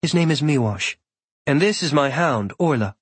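A man voicing a young woman druid who asks quick, eager questions. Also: sits low, animated, breathy edge, dry recording. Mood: curious.

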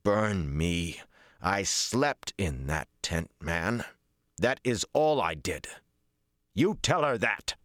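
Casting: male narrator as gruff, weary lord, low pitch, blunt audiobook dialogue, dry close sound. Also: animated, a little slow, no room sound. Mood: gruff, irritated.

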